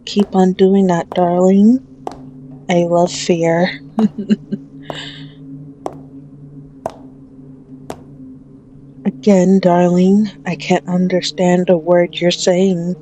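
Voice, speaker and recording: A woman playing a sadistic, possessive female mafia boss in an ASMR roleplay, mocking and menacing, with drawn-out taunting speech. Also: level tone, warm tone, lo-fi sound, a little hiss or hum. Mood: mocking, gloating. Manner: slow, taunting, drawn-out with frequent low chuckles.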